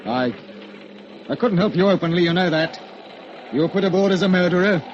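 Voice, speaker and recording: Actor as gruff, wary sea captain, muffled radio. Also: male voice, high voice, a little fast, slight background noise, natural voice. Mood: indignant, wary. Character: gruff, wary sea captain narrating his tense tale. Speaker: actor and narrator in a radio drama. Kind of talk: 1940s radio drama acting. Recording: muffled old radio broadcast with music.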